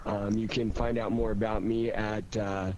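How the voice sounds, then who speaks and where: A man of medium pitch gives a casual introduction of himself to listeners. The sound is dull and lo-fi, with faint noise behind him. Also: flat delivery, normal speed, darkish tone. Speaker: actor and radio show host introducing himself. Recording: dull, slightly noisy connection.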